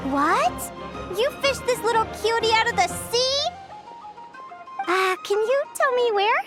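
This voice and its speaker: Very high, eager young researcher. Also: female voice, animated, measured pace. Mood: amazed. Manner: enthusiastic, chatty, explanatory. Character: young female animal researcher, eager, curious, affectionate toward creatures. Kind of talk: anime-style video game voice acting. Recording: background game music.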